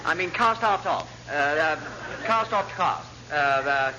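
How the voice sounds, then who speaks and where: An actor playing a vague, bumbling upper-class naval navigator, hesitant and muddled, in a classic radio sitcom. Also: male voice, on the high side, animated, a little slow. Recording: noisy old radio comedy recording.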